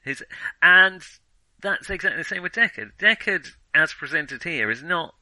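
Animated, bright-voiced man. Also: fairly high pitch, normal speed, no room sound, rough recording. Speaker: film discussion podcast host. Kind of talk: casual film review chat.

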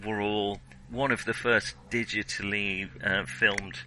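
A man's voice: lowish voice, level tone, unhurried, pushed and bright, slight background noise, poor audio. A man co-hosting a casual film discussion, speaking hesitantly and often correcting himself as he searches for words. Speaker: podcast co-host.

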